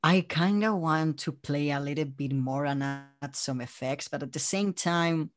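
A man chatting casually as an interview guest, telling things in an animated way by quoting himself and others. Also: on the high side, measured pace, soft background music. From Spain. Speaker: interview guest, audio drama creator. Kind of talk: casual chat.

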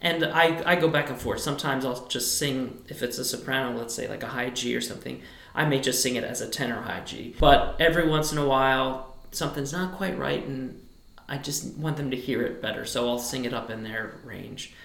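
A man's voice: brisk, gravelly, fairly bright tone. Choir director, male tenor, talks in loose rambling answers, roomy sound. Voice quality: high tenor speaking voice. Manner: thoughtful, rambling with restarts. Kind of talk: relaxed interview answers.

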